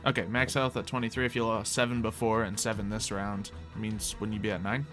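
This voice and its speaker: A man's voice: fairly low pitch, flat delivery, brisk, a bit bright. A man running a tabletop roleplaying game as game master, narrating turns and rulings casually. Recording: faint music underneath. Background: faint background music.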